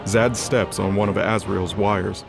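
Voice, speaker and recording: A man's voice: fairly low pitch, steady tone, measured pace, creaky. A man giving scripted dramatic narration as the narrator of an audio drama. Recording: music under the voice.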